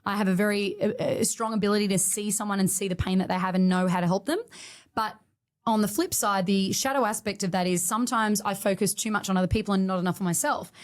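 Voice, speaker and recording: A woman's voice: medium pitch, quick pace. A woman, a studio owner telling her story with feeling in a podcast interview. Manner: emotional, emphatic storytelling.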